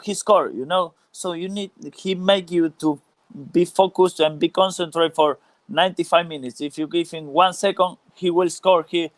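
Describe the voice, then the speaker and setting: Guy being interviewed, smooth clear voice, halting, repeats stuff, dry sound. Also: a little high, no room sound. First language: Spanish. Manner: halting, searching for words, repeats phrases. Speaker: former professional footballer, interview guest. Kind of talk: casual live interview.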